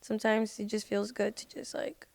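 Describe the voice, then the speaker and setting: A woman's voice: medium pitch, level tone, moderate pace, creaky, darkish tone. A woman guest on a podcast, chatting casually and informally, with lots of "like" and "you know". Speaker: podcast guest, singer and social media personality. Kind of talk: casual chat.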